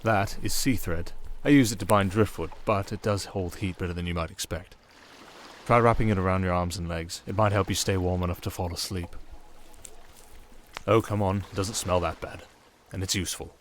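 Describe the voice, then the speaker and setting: A man's voice: deep voice, normal speed, slight background noise, natural voice. A British man playing a sardonic, restrained siren in an intimate ASMR roleplay, speaking in a wry, hesitant, confiding way. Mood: dryly teasing. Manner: wry, hesitant, confiding, addressing one listener. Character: sardonic, restrained, softly dangerous male siren sea creature. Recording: water and storm ambience behind voice. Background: running water and rain ambience.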